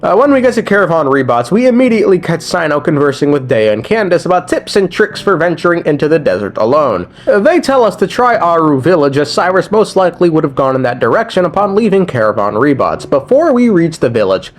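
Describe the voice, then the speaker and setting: A man with a slightly high, very animated voice recaps a video game storyline over light music. He reads casually from a script and drops in slangy, irreverent asides. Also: fast talker, hardly any pauses.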